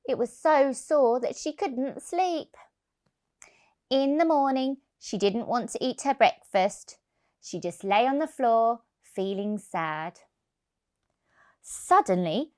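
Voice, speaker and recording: A woman narrates a story for young children at an unhurried pace. Her rather high voice moves up and down a lot, with deliberate stress on key words. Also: darkish tone. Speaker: childminder reading stories to children.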